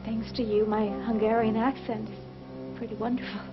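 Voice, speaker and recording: A woman's voice: a little high, level tone, moderate pace, lightly acted. A woman playing a hesitant, remorseful woman. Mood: pleading. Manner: soft, hesitant, at times whispering. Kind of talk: TV soap opera acting. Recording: dull lo-fi TV recording with loud music.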